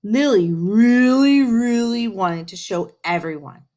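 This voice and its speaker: A woman reading a children's storybook aloud in an expressive way, with dramatic pauses and playful touches. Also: on the high side. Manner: animated, unhurried, dramatic pauses, playful asides.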